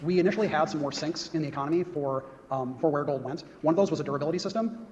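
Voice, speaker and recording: A man's voice: fairly high pitch, racing, a little mellow, lo-fi sound, a little hiss or hum. A man giving a conference talk as a game designer, hesitant and reflective, with many ums. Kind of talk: conference talk answering a question.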